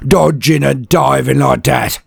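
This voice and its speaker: Man doing a hulking orc warlord, gravelly bark, coarse slang, taunting. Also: mid-range voice, steady tone, a little slow, no echo, studio quality, pitched above the performer's own voice, exaggerated voice. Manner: gruff slangy barking, coarse grammar.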